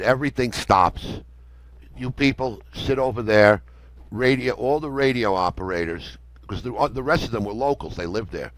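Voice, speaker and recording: A man's voice: mid-range voice, no echo, poor audio. An older American man telling a story conversationally in a matter-of-fact, detailed way as an interview guest. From United States. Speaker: interview guest, amateur radio operator.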